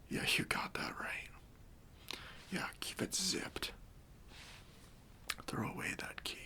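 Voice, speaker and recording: A man playing a kindly, reassuring bank robber in a soft, breathy ASMR roleplay, speaking gently and casually to an accomplice. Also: on the high side, exaggerated voice. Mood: calm, mildly stern. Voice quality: breathy, near-whispered.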